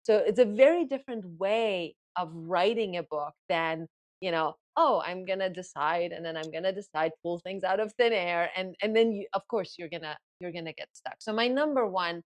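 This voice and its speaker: A woman whose first language is Farsi, a guest in an interview, explaining her method in lively bursts and acting out inner thoughts. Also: fairly low pitch, moderate pace. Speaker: guest author and ghostwriting coach. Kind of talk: casual interview talk.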